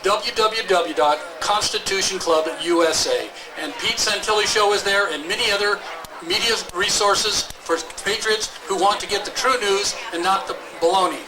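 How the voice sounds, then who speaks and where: A man slowly announcing a website and a show. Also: high-pitched, recorded from a distance, background noise.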